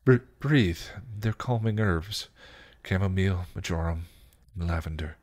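A man voicing a shy, gentle witch, a woman who speaks softly and soothingly with a hesitant stammer, in a story. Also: on the low side, expressive, a little fast, husky.

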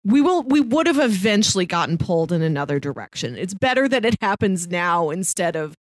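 A woman's voice: lowish voice, very animated, a little fast, dry recording, studio quality. A woman co-hosting a movie podcast, discussing films in a casual, conversational style.

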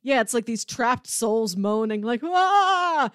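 A woman's voice: a little high, lively intonation, slow, fairly bright tone, a little hiss or hum. A woman co-hosting a casual, conversational discussion of films. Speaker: podcast co-host.

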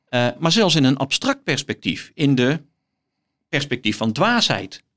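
A middle-aged man speaks as an academic guest in an interview. His voice sits at an average male pitch, and he explains in a thoughtful, emphatic way, pausing between phrases. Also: sing-song, fairly bright tone. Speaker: guest, professor of philosophy. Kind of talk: explanatory interview talk with some reading aloud.

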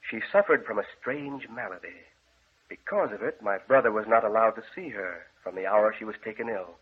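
A solemn, commanding gentleman with a husky, fairly low voice. He speaks formally, in the manner of vintage radio horror, on a tinny old recording. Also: a little fast. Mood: solemn, sombre. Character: grave, controlling gentleman guarding his deranged brother. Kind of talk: 1940s radio horror acting.

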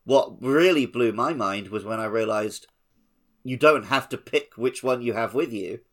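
A man's voice: mid-range voice, unhurried, a bit bright. A man co-hosting a podcast, chatting casually about a video game. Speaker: podcast co-host; teacher.

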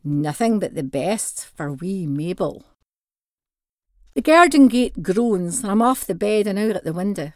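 A woman's voice: medium pitch, unhurried, no room sound. Scottish woman reading a story, close and dry. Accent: North-East Scottish (Doric). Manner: expressive, acts out dialogue in dialect. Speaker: author reading own short story. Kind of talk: scripted narration, first-person storytelling reading.

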